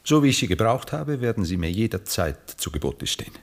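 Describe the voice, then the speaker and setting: An aging Viennese gentleman in a classic radio drama. His voice is very low and slightly husky. He speaks in deliberate, rhetorical phrases, as a cool philosopher who keeps people at a distance. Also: very animated. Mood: detached, philosophical. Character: aging detached aristocratic Viennese man, coolly philosophical bachelor. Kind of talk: 1960s German radio play acting.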